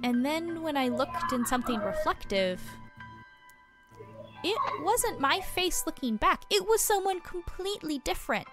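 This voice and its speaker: Woman playing an earnest, higher-voiced small-town reporter. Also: expressive, over music. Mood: reflective, confiding. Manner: explanatory, measured, reflective. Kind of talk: audio drama dialogue, naturalistic.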